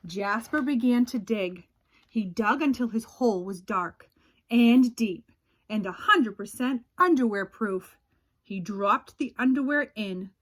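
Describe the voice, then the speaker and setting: A woman reading a children's picture book aloud as a storyteller, in an expressive, dramatic way with drawn-out suspense. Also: a little high.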